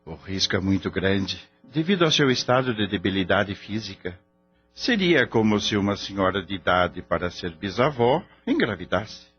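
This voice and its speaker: An actor playing a grave veterinarian in a radio drama, speaking Brazilian-accented Portuguese in a formal, explanatory manner. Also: male voice, on the low side, sing-song, normal speed. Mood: worried, somber. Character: grave male veterinarian explaining a risky foaling. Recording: dull lo-fi radio drama with music.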